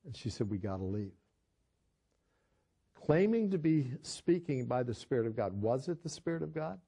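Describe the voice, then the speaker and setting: A man's voice: medium pitch, very animated, normal speed, gravelly, dark tone, breathy edge. An older man, a pastor, teaching a Bible study in a conversational sermon style, telling a story earnestly with frequent restarts.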